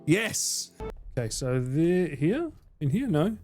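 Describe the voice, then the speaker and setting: A man's voice: fairly high pitch, sing-song, slow pace, darkish tone, faint music behind. A man streaming live, chatting casually and crudely, laughing often.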